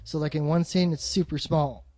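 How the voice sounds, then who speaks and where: A man's voice: on the high side, steady tone, normal speed, darkish tone. A man co-hosting a casual film discussion, emphatic and opinionated, swearing freely. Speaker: podcast co-host.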